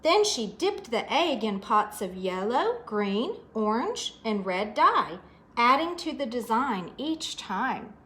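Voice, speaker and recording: A woman's voice: fairly high pitch, big pitch swings, takes it slowly, fairly bright tone. A woman storyteller reading a picture book aloud expressively to children, adding asides about the pictures.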